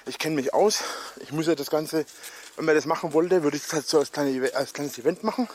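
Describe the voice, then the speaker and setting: A man narrating a walking vlog outdoors in a forest, out of breath, rambling with many halting fillers, filmed on a handheld camera. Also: on the high side. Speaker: vlogger.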